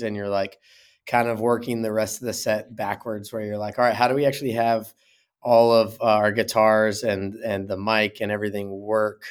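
Easygoing low-voiced male musician. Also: clear, resonant tone. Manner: thoughtful, repeats words as he gathers thoughts. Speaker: musician guest. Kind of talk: casual interview chat.

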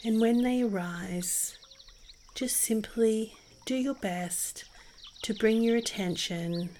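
A woman narrating a guided meditation in a soothing, gentle and unhurried manner. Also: on the high side, a little hiss or hum. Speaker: meditation podcast host and guide. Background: music behind the voice.

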